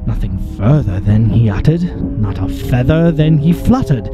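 A man reading poetry as a theatrical narrator, deliberate and melodramatic with heavy pauses. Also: medium pitch, lively intonation, highs cut off, music in the background.

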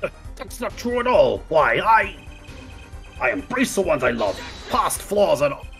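Man putting on a creaky, indignant old judge. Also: high voice, sing-song, a little slow, a bit bright, soft background music, heavily acted. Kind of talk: live fan dub of a courtroom game.

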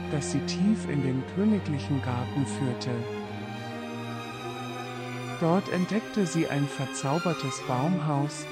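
A man narrating a scripted bedtime fairy tale for children as a storyteller. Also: a little high. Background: orchestral string serenade music.

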